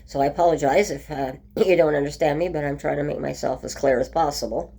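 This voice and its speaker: A woman talking casually in a home video update, her voice rough from a cold, full of ums and restarts. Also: sits low, steady tone, fast talker, dark tone. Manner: chatty, many ums and restarts. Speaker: vlogger. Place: at home by a window.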